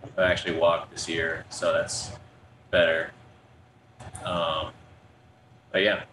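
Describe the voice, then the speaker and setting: A young male ballplayer with a very deep voice answers questions softly, hedging with ums and you-knows. The room sounds echoey, with clear background noise around him. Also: level tone, takes it slowly. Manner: soft-spoken, many ums and you-knows. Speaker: baseball player. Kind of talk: press conference answers. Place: press conference room.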